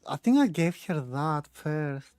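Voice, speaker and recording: A woman's voice: deep voice, animated, slow pace, a little mellow, no echo, studio quality, faint music behind. A woman giving casual gameplay commentary in muttered, offhand remarks. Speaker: gaming streamer.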